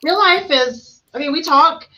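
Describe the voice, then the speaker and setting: Bright giggly woman with mild Southern accent. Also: high voice, a little slow. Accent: mild Southern US, mixed with Ohio. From Tennessee. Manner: giggly, rambling, expressive. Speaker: podcast host. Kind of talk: casual chat.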